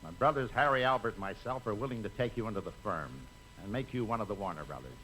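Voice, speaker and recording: An actor playing a blunt, exasperated movie studio boss in a 1950s radio comedy. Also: male voice, lowish voice, animated, fast talker, bright, forceful tone. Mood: exasperated, dry. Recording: noisy 1950s radio recording.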